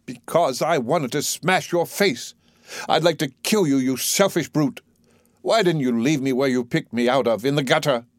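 A man's voice: medium pitch, brisk, hardly any pauses, no room sound, studio quality, natural voice. A man voicing a proud young working-class woman, emotional, bitter and defiant, in a play reading. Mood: furious.

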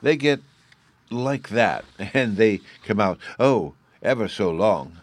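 A man's voice: lowish voice, lively intonation, takes it slowly, no echo, voice pushed up. A man voicing an eager, stubborn little fairy boy with a babyish lisp, in an audiobook. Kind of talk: audiobook character voice.

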